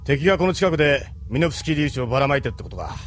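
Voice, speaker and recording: An actor playing a stern, weary, responsible male ship captain in an anime audio drama, gruff and authoritative. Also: a little high, very animated, very fast, soft background music. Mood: grave, pensive.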